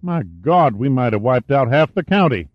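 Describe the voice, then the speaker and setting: A man's voice: medium pitch, animated, moderate pace, pitched above the performer's own voice, natural voice. A man voicing a friendly, canny small-town veteran with rustic, ungrammatical speech in an audiobook. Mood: amazed. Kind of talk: audiobook character dialogue. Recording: dull, roomy, echoey sound.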